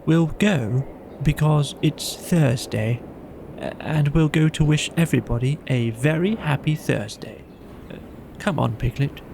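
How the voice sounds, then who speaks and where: A man's voice: on the high side, unhurried, vocal fry, darkish tone, a little hiss or hum. A man voicing a friendly, simple-minded toy bear in a children's storybook, pondering and hesitant. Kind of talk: children's audiobook character voice.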